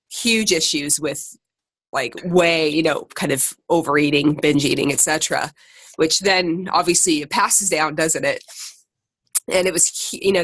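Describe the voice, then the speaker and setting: A woman with an American accent and a fairly low voice leads a group call in a dry, close sound. She talks casually and chattily, with an informal, self-deprecating streak as she motivates the group. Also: fairly bright tone, no room sound. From Ohio, United States. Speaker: secondary teacher and podcaster leading a group call. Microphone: conference call.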